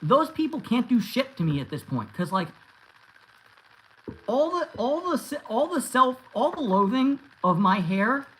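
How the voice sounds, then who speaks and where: A man's voice: extremely high pitch, very animated, unhurried, fairly bright tone, poor audio. A man in his thirties chatting casually on a livestream and answering viewers, rambling with many restarts and fillers. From United States. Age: 30s. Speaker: YouTube host answering live chat.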